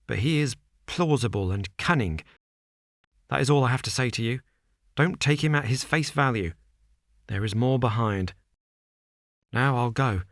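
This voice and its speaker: A man's voice: mid-range voice, dry recording, clean studio sound. A man doing a panicky, breathless estate manager. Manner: gasping short agitated sentences. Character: frightened, breathless male estate manager, middle-aged.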